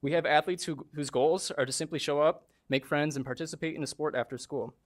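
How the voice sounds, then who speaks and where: A man's voice: on the high side, fairly even intonation, fairly quick. A man, a track coach, reading an earnest prepared statement at a school board meeting. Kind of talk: prepared public comment.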